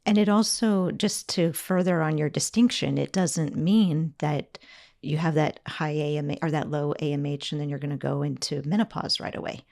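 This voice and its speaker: Low, creaky-voiced woman podcast host. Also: fairly even intonation, moderate pace, a little mellow. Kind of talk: conversational interviewing.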